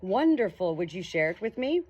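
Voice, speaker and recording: A woman's voice: medium pitch, big pitch swings, darkish tone. Woman voicing smug, creepy male ghost Eli. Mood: cool, cocky. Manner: condescending, drawn-out, dramatic delivery.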